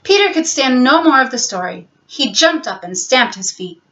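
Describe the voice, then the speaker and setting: A woman storyteller giving an expressive, scripted narration of a children's bedtime story. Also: sits high, normal speed, dull audio.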